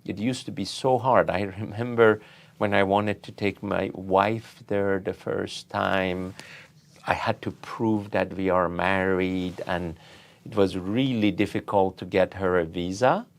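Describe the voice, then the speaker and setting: A middle-aged or older man from Iran speaking as an interview guest, telling anecdotes in a halting, deliberate way. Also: low-pitched, fairly even intonation. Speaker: interview guest, tech company founder and CEO.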